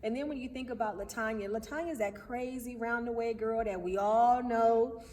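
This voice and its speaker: A woman chatting casually in an interview as a playwright, with an animated, laughing manner. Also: on the high side, normal speed. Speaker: playwright interviewed about her stage play. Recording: echoey hall, distant mic, faint noise.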